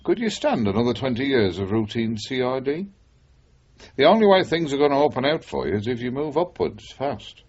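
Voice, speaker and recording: An actor playing a frank, concerned British former policeman in a radio drama, advising persuasively in a husky voice. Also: medium pitch, big pitch swings, brisk, a little mellow. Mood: earnest, persuasive. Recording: dull, band-limited radio broadcast.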